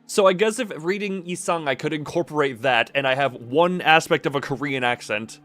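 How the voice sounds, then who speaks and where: A man's voice: fairly high pitch, brisk, fairly bright tone, faint music behind. A man streaming a game with casual live commentary, rambling and correcting himself as he goes.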